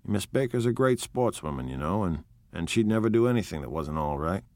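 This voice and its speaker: A man voicing a polite, charming young rich man who talks softly and reassuringly. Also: low voice, expressive, a little fast, dry recording. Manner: soft, reassuring, quietly charming.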